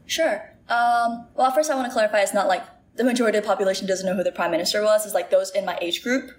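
A woman's voice: medium pitch, quick pace, a little hiss or hum. A woman in her early twenties who grew up in Shanghai and Singapore, chatting casually as an interview guest and saying "like" a lot. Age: 20s. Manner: chatty, says "like" often, many fillers.

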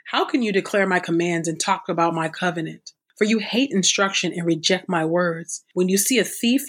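A woman reading Bible passages aloud and then praying, earnest and measured, often repeating "oh God". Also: on the low side. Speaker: Bible reader. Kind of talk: scripture reading and spoken prayer.

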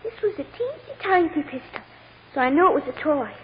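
High-voiced innocent little girl, old radio. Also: big pitch swings, a little fast. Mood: innocent, sure. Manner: simple, literal, childlike phrasing. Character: timid, innocent little girl testifying in court. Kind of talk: 1940s radio drama acting. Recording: old radio recording, narrow band, light noise.

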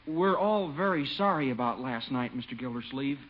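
A man's voice: mid-range voice, lively intonation, normal speed, close to an everyday voice. An actor playing an earnest, polite and eager young man in 1950s radio comedy. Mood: apologetic. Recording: muffled old radio broadcast.

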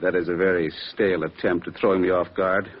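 A smug, murderous lawyer in a vintage radio crime drama. His voice is very deep and rough-edged, his speech precise and threatening. The old recording is dull and lo-fi. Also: flat delivery, quick pace. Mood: cold menace. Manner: formal, menacing, precise. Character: cold, smug crooked lawyer turned killer. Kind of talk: 1940s-50s radio detective drama acting.

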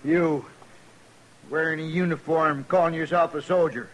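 An actor playing a proud, quarrelsome older war veteran in a 1950s radio western. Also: male voice, fairly high pitch, steady tone, moderate pace, lightly acted. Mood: angry, scornful. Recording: noisy old radio recording.

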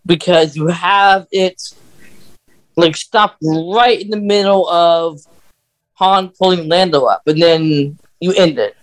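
A podcast host chatting casually, giving opinions in a hesitant, halting way. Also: mid-range voice, low-quality recording. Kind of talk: casual film-fan chat.

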